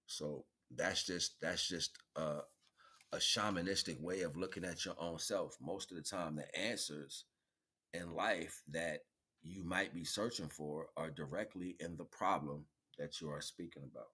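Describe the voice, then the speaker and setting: A man with a very low voice talks as a spiritual streamer. He rambles philosophically, pausing and circling back, and drops colloquial slang between lofty phrases and questions to the listener. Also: bright, forceful tone, husky. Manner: philosophical, wordy, halting, with rhetorical questions. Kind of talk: rambling spiritual monologue to an audience.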